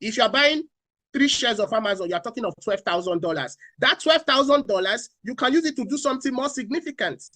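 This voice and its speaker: A man's voice: very high-pitched, animated, brisk, pushed and bright, no echo. A man from Nigeria, speaking as a finance panelist on a talk show, repeating key phrases and asking rhetorical questions.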